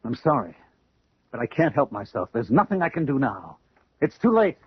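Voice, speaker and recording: American man, vengeful obsessive, insistent and urgent, slightly rough voice, dry lo-fi vintage radio drama. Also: medium pitch, big pitch swings, moderate pace, no echo. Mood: stubborn, desperate. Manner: urgent, insistent, stammering under stress. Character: agitated, obsessed man bent on vengeful payback. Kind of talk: old-time radio drama acting. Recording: muffled vintage radio broadcast recording.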